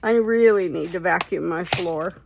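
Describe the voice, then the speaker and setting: A hoarse woman who is losing her voice, chatting casually and unhurriedly as she makes bead crafts on a vlog. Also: fairly low pitch, normal speed, noisy background. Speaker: craft vlogger.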